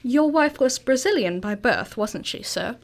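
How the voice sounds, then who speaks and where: A woman's voice: on the high side, lively intonation, a little slow. A woman acting a genial, loyal doctor and detective's companion in a radio drama, polite and old-fashioned.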